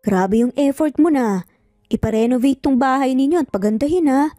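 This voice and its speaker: Skeptical, mellow male best friend voiced by woman. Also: sits high, normal speed, dry recording, studio quality, faint music behind, lightly acted. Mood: teasing. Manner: questioning, incredulous.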